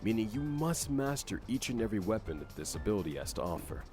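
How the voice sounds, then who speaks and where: Low-voiced man narrating a game explainer. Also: big pitch swings, fast talker, over loud music.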